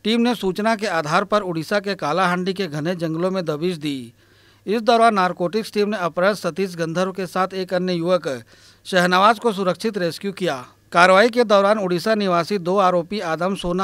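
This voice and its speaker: A male reporter reading a scripted news report in a formal, even manner. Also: high-pitched, no room sound. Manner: formal, even read delivery.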